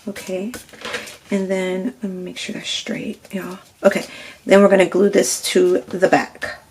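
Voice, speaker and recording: A woman's voice: mid-range voice, level tone, unhurried. A woman with a slightly nasal, stuffy voice and a scratchy throat, chatting through a crafting tutorial step by step and asking "right?" as she goes. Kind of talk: casual step-by-step crafting demo.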